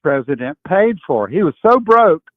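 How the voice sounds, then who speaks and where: Male caller in his eighties, higher-pitched, halting, opinionated political talk over a thin phone line. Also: very animated, dry recording. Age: 80s. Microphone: phone call.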